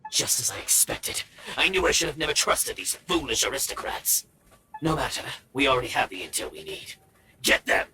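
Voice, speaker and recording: An actor playing a shrewd, secretive foreign agent in a video game, with a measured, formal, condescending manner. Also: male voice, fairly low pitch, wildly swooping pitch, vocal fry, pushed and bright, a little hiss or hum, exaggerated voice. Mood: angry, commanding.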